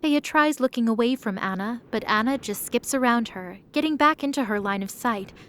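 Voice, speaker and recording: A woman giving steady, scripted storytelling narration for an audio drama. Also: a little high, moderate pace. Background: background music.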